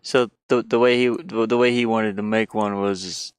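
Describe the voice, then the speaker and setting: Male streamer, low-pitched and clear, unhurried and halting, casual and self-deprecating, dry close sound. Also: steady tone, dry recording. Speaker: livestreamer. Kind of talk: casual livestream chat while sketching.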